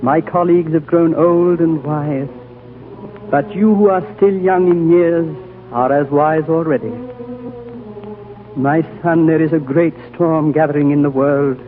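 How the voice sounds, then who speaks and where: An actor playing an ancient, wise and gentle high lama with a solemn, fatherly, oratorical manner, in the style of 1940s radio drama. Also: a little slow, a little hiss or hum, lightly acted. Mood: grave, tender. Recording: tinny 1940s radio recording over music.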